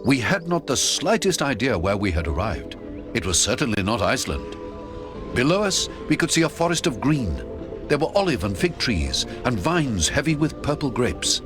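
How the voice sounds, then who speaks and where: A man narrating an adventure audiobook in the first person, theatrical and expressive. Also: mid-range voice, moderate pace, music in the background.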